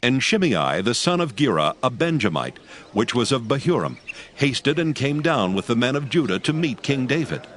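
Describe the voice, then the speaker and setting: A man narrating scripture from a script in a measured, solemn storytelling manner. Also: medium pitch, very animated.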